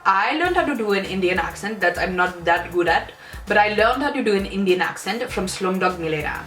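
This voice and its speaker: A woman's voice: medium pitch, expressive, a little fast, fairly bright tone. A young woman vlogger chatting casually and animatedly to camera, giggling often. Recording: roomy room, distant mic, faint music.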